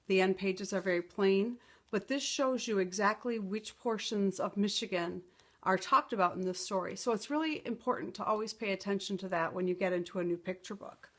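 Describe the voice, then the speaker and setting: A woman reading a children's picture book aloud as a storytime teacher, expressive and explanatory. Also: medium pitch, a little fast.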